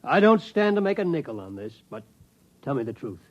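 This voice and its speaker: A man's voice: medium pitch, big pitch swings, brisk. An actor playing a slick, folksy used-spaceship salesman with boastful, wheedling patter in a 1950s radio drama. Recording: muffled vintage radio recording.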